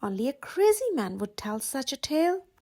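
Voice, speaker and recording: A woman reading the part of an accusing male villager in a storybook, declaiming loudly and scornfully. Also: sits high, very animated, moderate pace.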